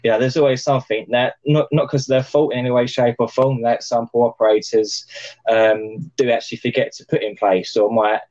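Slightly croaky man hosting a chatty podcast. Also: medium pitch, level tone, brisk, a little mellow, lo-fi sound. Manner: chatty, joking, many fillers. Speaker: podcast host. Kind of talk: casual podcast chat over video call. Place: plant room. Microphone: video call.

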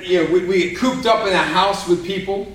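A man preaching a casual, energetic sermon to a small audience, peppering his talk with "man" and "right?". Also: high voice, fairly quick. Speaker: pastor. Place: church room with a small audience. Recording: echoey room, mic at a distance. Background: small live audience responding.

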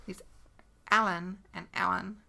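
New Zealand woman, bright creaky voice. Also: on the low side, flat delivery, a little slow, slight background noise. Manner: dry, swears casually. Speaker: podcast co-host. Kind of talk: casual chat.

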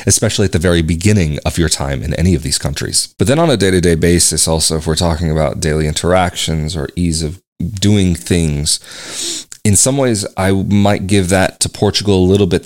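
A man's voice: sits low, a little mellow. A man from the United States talking casually to camera as an expat vlogger, rambling a little with restarts and asides. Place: home in Portugal.

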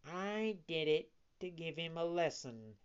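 Narrator doing a sly, slow-talking storybook she-cat. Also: medium pitch, animated, smooth, highs cut off, lightly acted. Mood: coolly menacing. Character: sly, ruthless female cat feigning blindness.

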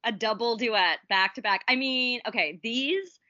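A woman's voice: on the high side, measured pace, bright, forceful tone, no echo, dull audio. A young woman co-hosting a podcast in casual chat, bubbly and giggly. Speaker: podcast co-host.

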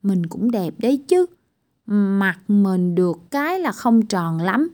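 A woman's voice: medium pitch, big pitch swings, a little slow, a little mellow. A woman voicing a vain, restless tiny boy in a children's storybook, talking cheeky and boastful.

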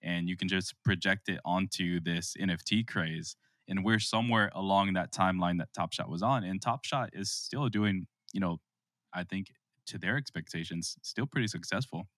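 A man's voice: low voice, normal speed, a bit bright, dry recording, studio quality. A man hosting a podcast interview in a casual, conversational style. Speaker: podcast host.

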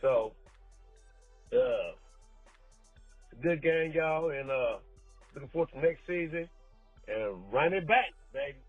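A man in a casual, slangy sports rant on a voicemail, exclaiming and colloquial as an animated fan. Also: mid-range voice, a little slow, long pauses, husky, no echo. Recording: muffled voicemail, music behind.

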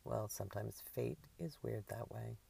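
A woman voicing a friendly, easygoing older man with a soft manner in an audiobook story. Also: a bass voice, measured pace, vocal fry, breathy edge, far from the mic, pitched below the performer's own voice, heavily acted.

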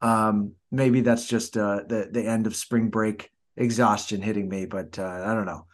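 A man's voice: lowish voice, flat delivery, a little slow. A man co-hosting a podcast in casual chat, talking in a hesitant, rambling way. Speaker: podcast co-host.

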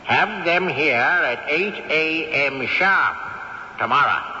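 A man's voice: fairly high pitch, animated, takes it slowly, bright, forceful tone, in a large hall, heavily acted. An actor playing a cantankerous, haughty old male ghost who drives a hard bargain, in a radio drama. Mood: indignant. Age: older. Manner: haughty, indignant, grandly formal. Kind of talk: 1970s radio drama acting. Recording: dull old radio recording with faint hiss.

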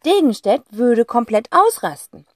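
A woman voicing an eager, quick-witted schoolboy who talks loudly and excitedly, in an audiobook. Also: on the high side, no room sound, studio quality, voice pushed up. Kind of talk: audiobook character voice.

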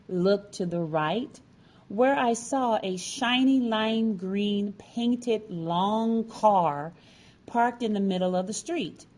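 A woman narrating a personal story from a script, with deliberate, dramatic phrasing. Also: mid-range voice. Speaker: featured storyteller.

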